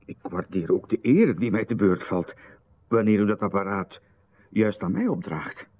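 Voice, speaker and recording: A well-known host who stays courteous while hesitating nervously, his husky Flemish voice sitting low. The sound is narrow and phone-like, like an old radio broadcast. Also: expressive, normal speed. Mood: polite uneasy. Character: Babbert, well-known man, polite and uneasy host. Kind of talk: 1990s radio drama.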